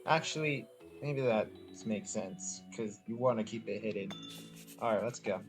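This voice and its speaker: A man's voice: lowish voice, normal speed. A man giving casual commentary as he plays through a video game. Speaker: gaming video creator. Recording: faint game music behind.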